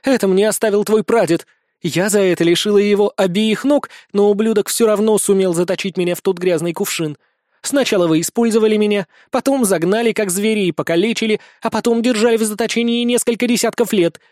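Audiobook casting: indignant little winged female beast played by a male narrator, very high, haughty, snappish. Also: fairly quick, darkish tone, dry recording, clean studio sound, natural voice. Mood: furious. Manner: snarling, indignant, blunt. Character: furious, haughty winged female albino pet creature.

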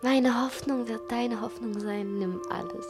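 A young woman playing a passionate, impetuous girl in love in a 1960 radio play, fervent, breathy and declamatory. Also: fairly high pitch, fairly even intonation, unhurried, over loud music, close to an everyday voice. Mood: tender, fervent. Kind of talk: theatrical 1960 German radio play acting.

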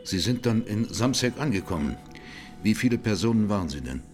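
Low-voiced, formal male judge, played by an actor. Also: expressive, a little fast, music in the background, natural voice. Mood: sober, probing. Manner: formal, sober, probing. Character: probing male judge questioning a witness. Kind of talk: radio drama courtroom questioning.

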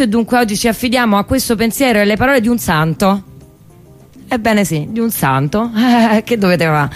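A woman radio host with a Roman accent introduces her show over music. Her manner is wry and playfully literary, dropping into colloquial asides. Her pitch sits in a middle range for a woman.

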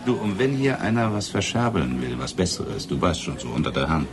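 Deep-voiced wry police inspector, noisy background. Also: male voice, big pitch swings, a little fast. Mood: probing. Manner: dry, ironic, knowing. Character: wry, streetwise male police inspector, worldly and teasing. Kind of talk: radio crime drama acting.

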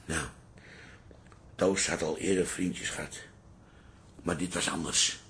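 An actor playing a gruff, blustering old bar-keeping father in a radio drama. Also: low voice, level tone, takes it slowly, fairly bright tone, exaggerated voice. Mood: weary, worried. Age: older. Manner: blustering, scolding.

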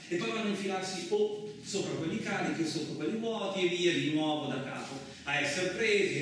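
An actor playing a coarse, suspicious, lonely truck driver in a radio theatre monologue, rambling colloquially with frequent questioning interjections. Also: male voice, a little high, a bit bright. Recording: very echoey, distant, noisy room recording.

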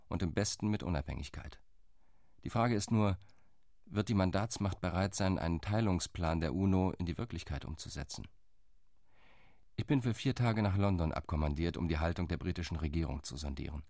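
A man playing a sober, deep-voiced envoy. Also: a little mellow, dry recording, clean studio sound. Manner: sober, reporting tone. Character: measured male Zionist envoy, calculating, reserved. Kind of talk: radio drama monologue.

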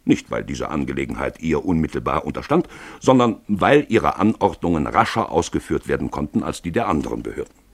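Deep, husky, expressive male narrator. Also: fairly quick, dry recording. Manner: expressive storytelling. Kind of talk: scripted literary narration in a radio play.

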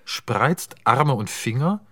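A male narrator reads the stage directions of a radio drama. His pitch is medium, and his scripted delivery is precise and matter-of-fact. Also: animated, slow pace, pushed and bright, no room sound, studio quality.

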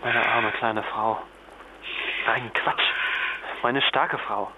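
An actor playing a caring, worried husband who soothes his wife with gentle, brief questions in a naturalistic radio phone drama. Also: mid-range voice, fairly even intonation, extremely slow. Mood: tender. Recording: very noisy, dull radio-play phone scene.

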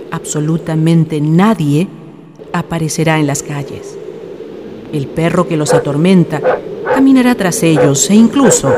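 A man's voice: a little high, background noise. A man narrating a scripted horror radio drama in a solemn, dramatic manner. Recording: radio play with music underneath. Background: music.

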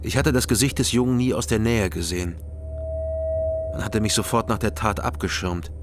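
A man's voice: fairly low pitch, normal speed, music in the background, natural voice. An actor playing a troubled East German former policeman, narrating his own story in a radio crime drama with restrained, brooding delivery. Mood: sombre, restrained.

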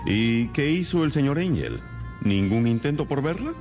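A man's voice: medium pitch, big pitch swings, moderate pace, darkish tone, soft background music, lightly acted. An actor playing a shrewd, composed male detective in a radio drama, questioning formally with thoughtful murmurs. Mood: probing. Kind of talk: Spanish-language radio drama acting.

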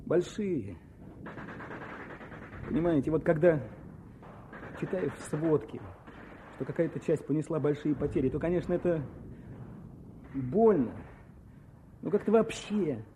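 A weary, plain-spoken officer at the front in a radio play. His voice sits a little high and sounds earnest and frustrated. Battlefield noise is clearly heard behind him. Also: expressive, a little slow, long pauses. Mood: grieving. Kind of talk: Soviet radio play acting. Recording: noisy battlefield sound effects.

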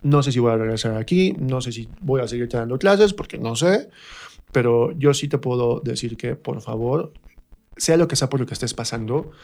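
A man from Mexico with a Mexican accent, telling anecdotes reflectively as an interview guest and often pausing to search for words. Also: mid-range voice, creaky, darkish tone. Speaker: interview guest, performer and drama teacher. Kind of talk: conversational anecdote in a radio interview.

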